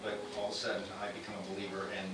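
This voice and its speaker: A man's voice: low-pitched, flat delivery, very fast, heavy background noise. A man in a Bible class asking the teacher questions and thinking aloud, heard from a distant mic in an echoey room. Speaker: class participant.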